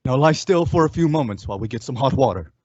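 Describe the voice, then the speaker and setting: A man playing a brave, steady masked cowboy hero in a radio western, commanding and reassuring. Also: medium pitch, fast talker, no echo, muffled recording, lightly acted. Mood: steady, concerned. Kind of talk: old-time radio western acting.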